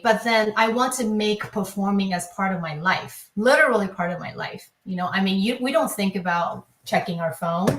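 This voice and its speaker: An echoey room surrounds this woman's voice, which sits at a medium pitch and carries a Taiwanese accent. She speaks conversationally and reflectively as an interview guest, often repeating a phrase as she works through an explanation. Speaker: classical pianist, teacher, interview guest. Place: online video discussion, voice in an echoey room.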